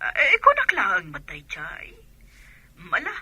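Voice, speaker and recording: A woman's voice: medium pitch, wildly swooping pitch, measured pace, sharp tone, slightly rough, dry recording, full character voice. A woman playing a caring middle-aged mother abroad, heard over the telephone in a radio drama.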